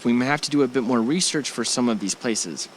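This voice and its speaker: A man's voice: mid-range voice, fairly quick, slight background noise, lightly acted. A man acting an affectionate, reassuring young husband in an amateur audio drama, speaking in a casual, slightly hesitant way. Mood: relaxed. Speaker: actor in an amateur radio drama. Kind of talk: naturalistic audio drama dialogue.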